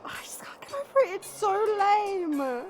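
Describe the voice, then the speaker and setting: A woman's voice: extremely high pitch, sing-song, measured pace, over music, full character voice. A woman playing a smirking, sarcastic monster woman who chats and mocks in an audio drama. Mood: sarcastic, amused. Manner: sarcastic, mocking, chatty. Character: smirking, teasing monster woman, short and muscular.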